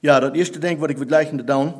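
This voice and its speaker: Halting Plattdeutsch-speaking male preacher. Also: fairly high pitch, husky. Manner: halting, searching for German words, mixing in English. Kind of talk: sermon.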